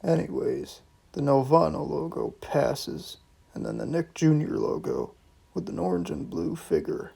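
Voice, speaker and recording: A man's voice: a little high, very animated, unhurried, gravelly, mellow, breathy edge. A man hosting a video review, reading scripted commentary with some casual, profane asides.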